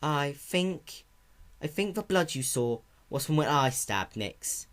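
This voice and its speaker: A performer voicing a caring female pony in a cartoon-based story reading, soft, patient and reassuring like a big sister. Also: medium pitch, animated, slow, pitched above the performer's own voice. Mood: guilty.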